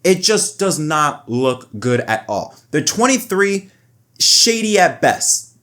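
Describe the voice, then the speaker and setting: A man's voice: on the high side, sing-song, slow pace, bright, forceful tone. Emphatic man giving racing commentary. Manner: emphatic, repeats words and phrases. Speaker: motorsport commentary video host. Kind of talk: opinionated race analysis commentary.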